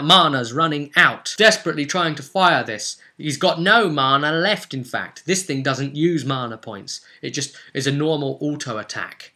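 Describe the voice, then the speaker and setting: Forceful, bright-voiced man narrating fantasy combat. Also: medium pitch. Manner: theatrical, with short punchy phrases. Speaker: game master. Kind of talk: dramatic narration of a tabletop role-play.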